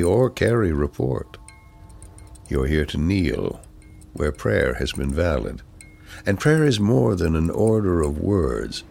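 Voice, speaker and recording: A man gives a solemn poetry recitation in a very deep voice full of creak. He phrases deliberately and unhurriedly, letting weighty pauses fall between lines, with faint noise behind him. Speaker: poetry reader.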